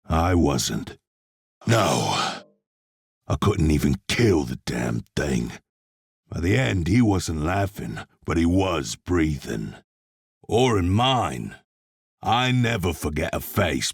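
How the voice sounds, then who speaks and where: An actor playing a gruff, hard-bitten demon hunter and vengeful soldier, terse and plain-spoken, with gravelly video game delivery. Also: male voice, a bass voice, dry recording, studio quality, full character voice. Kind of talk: video game voice lines, scripted.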